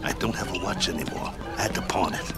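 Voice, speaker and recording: A man's voice: deep voice, fast talker, loud music behind the voice. An actor playing an eager, down-on-his-luck male fight manager who talks in a hopeful, pleading way, in a radio drama. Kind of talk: 1960s-style radio drama acting.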